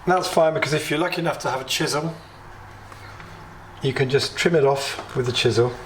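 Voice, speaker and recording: A British man hosting a DIY tutorial, a little hoarse as he is losing his voice, chatty and plain as he explains each step with small asides. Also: mid-range voice, fairly quick, echoey room, slight background noise, rough recording. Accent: British English. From United Kingdom. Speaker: DIY video host. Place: home workshop or kitchen.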